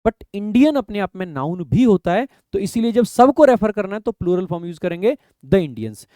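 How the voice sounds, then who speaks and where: High-voiced man teaching grammar, dry mic. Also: sing-song, dark tone, dry recording. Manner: explanatory, asks and answers his own questions, reads rules slowly. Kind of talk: exam-prep grammar lecture in Hindi.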